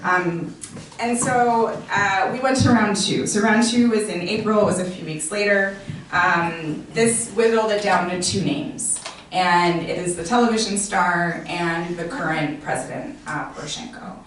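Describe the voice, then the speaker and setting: An American woman speaks to an audience in a relaxed, explanatory way, often pausing on "um" as she moves through her slides. Her voice sits a little low, and it reaches the mic from some distance. The room is large and bare, so a heavy echo hangs around every phrase. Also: slight background noise. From United States. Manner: conversational, many "um" fillers, explanatory. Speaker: guest speaker. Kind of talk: informal presentation with slides.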